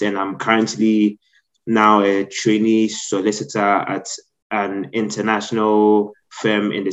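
A young man with a Ghanaian accent, a guest telling his own story in an earnest, measured way. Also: lowish voice, highs cut off. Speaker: guest, trainee solicitor. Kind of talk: reflective personal storytelling.

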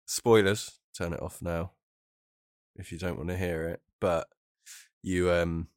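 Male co-host, British, very low pitch, mild head cold, informal gaming talk, dry recording. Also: steady tone, measured pace, dry recording. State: bunged-up nose from a cold. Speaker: podcast co-host. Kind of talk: casual chat about video games.

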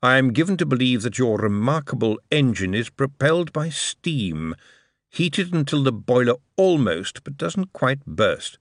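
A man voicing a measured, aloof male ruler who speaks deliberately. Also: mid-range voice, big pitch swings, no echo, clean studio sound. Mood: cool.

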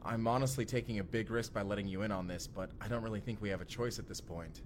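An actor playing an earnest, secretive young time traveler in an audio drama, speaking sincerely and casually with hesitant pauses. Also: male voice, lowish voice, background noise, lightly acted. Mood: cautious, confiding. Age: young adult. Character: earnest, secretive young man from the future named Benji. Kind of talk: immersive audio drama roleplay acting.

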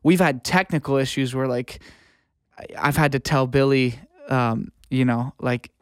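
Man, smooth crisp voice, relaxed conversational storytelling, enthusiastic, very little room sound. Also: medium pitch, unhurried, dry recording. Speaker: interview guest, music producer and audio engineer. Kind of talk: casual interview chat. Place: room at home.

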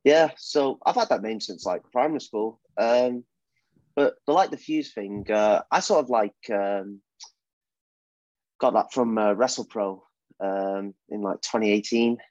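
A man's voice: fairly low pitch, measured pace, a little mellow, no room sound. Young British man, hesitant, lo-fi. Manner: hesitant, many fillers and restarts. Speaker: wrestler guest. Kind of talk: casual podcast interview. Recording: dull, dry, lo-fi sound.

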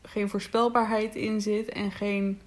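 A woman's voice: mid-range voice, flat delivery, unhurried. A woman in reflective, casual conversation as an interviewer, thoughtful and tentative, often agreeing.

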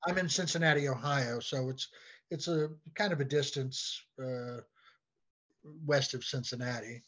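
An American man chatting casually as an interview guest, telling anecdotes in a halting way and often repeating words. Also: mid-range voice, steady tone, fairly bright tone. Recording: dull, lo-fi call-like audio.

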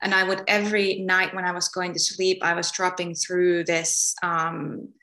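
A woman from Finland, a guest in an interview, talking about her path and often pausing to search for words. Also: on the low side, level tone. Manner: soft-spoken, searching for words with many ums and restarts. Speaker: guest, energy healer. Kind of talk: relaxed spoken interview.

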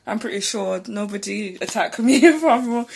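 A young British woman co-hosting a podcast, chatting in a relaxed, rambling way with lots of fillers and restarts. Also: medium pitch, normal speed, creaky, husky. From Britain. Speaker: podcast co-host. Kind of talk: casual chat.

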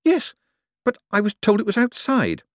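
A man's voice: high voice, huge pitch swings, normal speed, no room sound, studio quality, pitched above the performer's own voice. A man acting an exasperated, sarcastic con artist. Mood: indignant, baffled. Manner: exasperated, protesting. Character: harried, indignant male con artist, quick-tongued and sarcastic.

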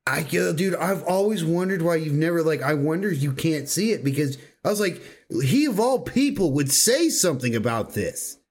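A man's voice: fairly high pitch, lively intonation, normal speed, few pauses. A man co-hosting a podcast, trading casual banter in wry, informal interjections. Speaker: podcast co-host.

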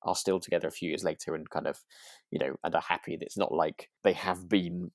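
A British man co-hosting a podcast, chatting casually in a musing, halting way. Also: low-pitched, steady tone, dry recording, studio quality. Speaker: podcast co-host. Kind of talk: casual chat.

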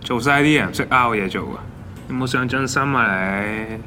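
An actor with a Hong Kong accent playing a pushy, condescending office boss who nags and preaches at junior staff in an audio drama. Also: male voice, medium pitch, normal speed, pushed and bright, faint music behind, lightly acted. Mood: scolding, indignant. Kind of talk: Cantonese audio drama acting.

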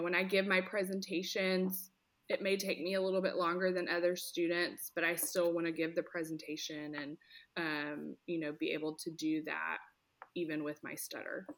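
Bright-voiced woman speech therapist. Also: fairly low pitch, normal speed. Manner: earnest, expressive, speaks in quoted examples. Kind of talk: conversational interview.

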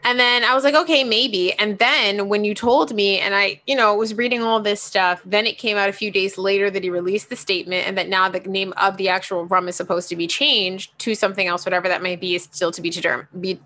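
A woman co-hosting a casual chat, agreeable and quick to affirm what her partner says. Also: medium pitch, fairly even intonation, bright, forceful tone. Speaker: podcast co-host.

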